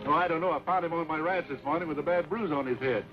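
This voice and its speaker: Male actor as plainspoken rancher, rapid blunt delivery, old western radio, music behind, dull sound. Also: on the high side, close to an everyday voice. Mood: concerned. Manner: quick, blunt. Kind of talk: old-time western radio drama acting.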